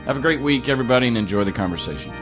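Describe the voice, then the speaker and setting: A man's voice: medium pitch, animated, over loud music. Man, middle-aged, podcast host, earnest and reflective, mid-range voice. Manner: earnest, reflective, halting when personal. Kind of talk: podcast intro and interview.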